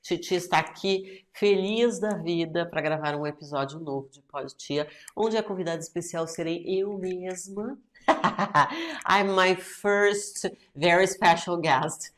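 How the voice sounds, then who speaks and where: A woman's voice: lowish voice, measured pace, fairly bright tone. A woman in her forties with a Brazilian Portuguese accent, hosting her own podcast in a chatty way, switching between Portuguese and English. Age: 40s. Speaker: podcast host and English teacher. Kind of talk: casual solo podcast talk.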